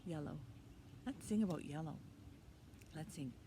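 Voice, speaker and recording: Woman, 50s, children's storytime host, unhurried and simple, outdoors with clear background noise. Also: low-pitched. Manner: simple short phrases, encouraging questions to children. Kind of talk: interactive preschool storytime talk. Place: outdoors in a leafy backyard.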